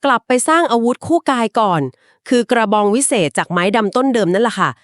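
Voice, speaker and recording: A woman co-hosting a podcast, retelling an old legend conversationally and explaining it at length, with polite particles and short filler pauses. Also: a little high, expressive, no room sound, clean studio sound. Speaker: podcast co-host. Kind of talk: conversational storytelling of a folk legend.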